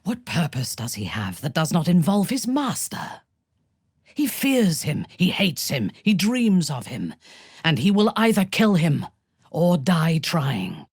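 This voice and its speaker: Female drow zealot, fantasy game, husky, very animated intonation, ruthless, haughty, menacing. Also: deep voice, no echo, clean studio sound, heavily acted. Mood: haughty, measured. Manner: imperious, menacing, theatrically deliberate delivery. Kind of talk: scripted fantasy video game voice lines.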